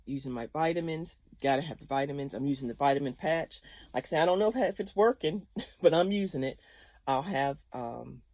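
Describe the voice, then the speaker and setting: A woman's voice: deep voice, warm tone, dry recording. Chatty laughing woman in her fifties. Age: 50s. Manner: chatty and rambling, laughs often. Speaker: vlogger. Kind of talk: casual chat to viewers.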